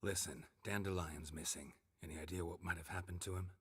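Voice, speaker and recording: An actor playing a terse, stoic male monster hunter in a game cutscene, with a gravelly, husky voice and flat, understated delivery. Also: deep voice, a little fast.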